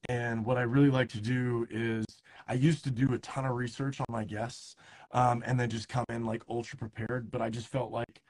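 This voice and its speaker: A man's voice: mid-range voice, flat delivery, moderate pace, darkish tone, breathy edge. A man in his thirties hosting a baseball podcast, talking loosely with lots of fillers and restarts. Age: 30s. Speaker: podcast host. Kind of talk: casual interview chat. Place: San Diego.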